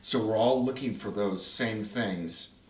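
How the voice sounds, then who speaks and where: A man's voice: fairly low pitch, unhurried. A man hosting a casual behind-the-scenes chat about his radio play, loose and full of fillers, asking the cast questions. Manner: loose, filler-heavy, asks many questions. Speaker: host and creator of the radio play. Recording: roomy room, distant mic.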